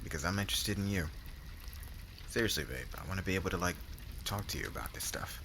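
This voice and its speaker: Man acting deep, slangy, cocky warrior husband. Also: lively intonation. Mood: flirty, teasing. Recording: clear background noise, water ambience.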